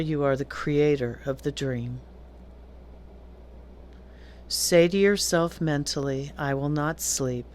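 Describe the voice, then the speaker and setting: A woman teaching yoga nidra, giving calm, deliberate guided meditation instructions with long pauses between phrases. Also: deep voice, slight background noise.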